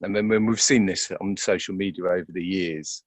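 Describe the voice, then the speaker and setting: A man's voice: deep voice, fairly even intonation, normal speed, no echo. A man giving conversational interview answers as an actor, hesitant and often repeating words as he thinks aloud.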